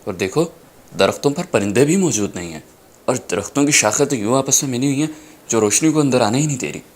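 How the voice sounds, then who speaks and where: A man's voice: mid-range voice, lively intonation, fast, close to an everyday voice. A man playing an observant, uneasy young student in an audio drama.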